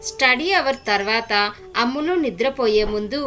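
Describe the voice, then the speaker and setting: A woman's voice: fairly high pitch, moderate pace, fairly bright tone, soft background music. A woman reading scripted narration as a storyteller.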